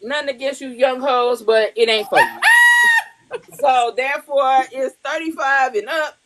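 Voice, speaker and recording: A woman's voice: sits high, a little slow, poor audio. A woman hosting a podcast in casual chat, blunt and profane, laughing often. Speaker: podcast host.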